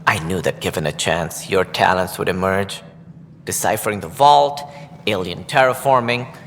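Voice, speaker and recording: An actor playing a scheming, self-assured colony director in a video game, formal and pompous. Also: male voice, deep voice, normal speed, a bit bright. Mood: flattering, self-assured. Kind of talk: video game dialogue acting. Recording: long hall reverb, faint noise.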